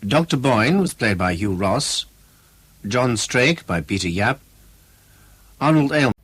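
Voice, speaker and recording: A man's voice: medium pitch, lively intonation, measured pace, a bit bright, husky, no echo, rough recording. A man with a British accent announcing radio programme credits in a formal scripted style.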